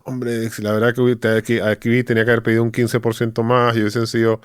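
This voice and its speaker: Smooth-voiced male podcast interviewer. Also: medium pitch, monotone, quick pace, dry recording, studio quality. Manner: probing, direct follow-up questions. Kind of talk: conversational interviewing.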